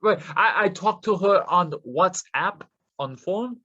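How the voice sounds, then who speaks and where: An actor playing a desperate, nervous Russian scammer with a Russian accent, stammering deferentially in broken English in a play reading. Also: male voice, sits high, animated, slow, bright, forceful tone, highs cut off, heavily acted. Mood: anxious, reassuring.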